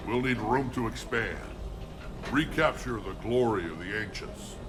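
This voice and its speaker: An actor playing a proud, stubborn alien clan leader in a video game, blunt and commanding. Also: male voice, lowish voice, expressive, measured pace. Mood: determined.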